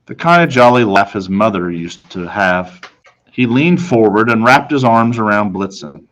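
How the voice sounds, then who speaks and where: A man reading a children's storybook aloud in a dramatic manner, with deliberate pauses. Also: fairly low pitch, animated, a bit bright, poor audio. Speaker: storybook reader.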